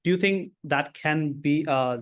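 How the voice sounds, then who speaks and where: A man's voice: on the high side, measured pace. A man, asking a sales coach questions on a video call, searching for his words. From India. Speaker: coaching client.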